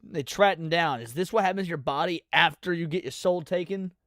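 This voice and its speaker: A man with a slightly high voice hosts a stream in a very dry-sounding space. He comments casually and informally, like someone reacting as he watches. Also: fairly quick, a bit bright, dry recording. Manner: informal, swearing. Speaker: livestream host.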